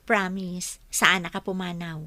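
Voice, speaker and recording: A woman's voice: on the low side, little pitch movement, a little slow, bright, forceful tone, dry recording. An actress playing a modest, bashful young female clerk in an Ilocano-accented radio drama. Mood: flustered, bashful. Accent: Filipino, Ilocano.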